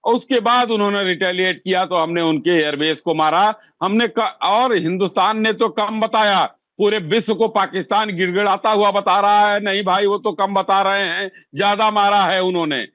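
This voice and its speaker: A man's voice: high-pitched, normal speed, few pauses, a bit bright, lo-fi sound. A man arguing insistently as a party spokesman on a political TV debate. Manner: insistent, combative.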